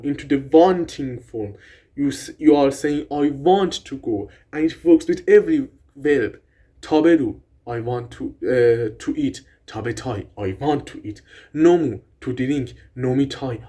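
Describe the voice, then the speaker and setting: A young man whose first language is Persian explains grammar in a loose, excited way. His voice sits slightly high for a man. He rambles, restarts words and swears in amazement. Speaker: language vlogger. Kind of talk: casual explainer talk.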